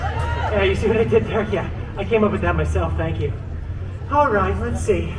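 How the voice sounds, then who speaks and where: Brief: male actor as sarcastic mercenary antihero, lively sing-song delivery, recorded from far off. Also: high-pitched, moderate pace, a crowd in the background, background noise. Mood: snarky. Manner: snarky, self-mocking, fourth-wall jokes. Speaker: live show storyteller. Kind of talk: comic live storytelling to an audience. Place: outdoor theme park stage. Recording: echoey park speakers from far off, loud music.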